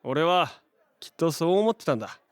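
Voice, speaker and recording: A brash, high-pitched young hero. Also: male voice, sing-song, fairly quick, clear, resonant tone, dry recording. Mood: regretful, tender. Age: young adult. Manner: brash, exclamatory, slangy. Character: hot-headed, flustered young male hero with a soft heart. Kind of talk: Japanese superhero audio drama acting.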